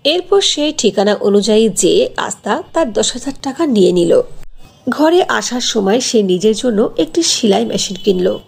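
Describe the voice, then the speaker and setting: Lively, slightly husky female storyteller. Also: fairly high pitch, normal speed. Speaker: narrator. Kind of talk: scripted storytelling narration for cartoon.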